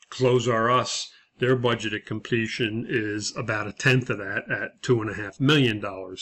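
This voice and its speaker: A man giving an explanatory lecture as an instructor, talking through material in a measured way. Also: on the low side, a bit bright. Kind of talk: lecture explaining a case study.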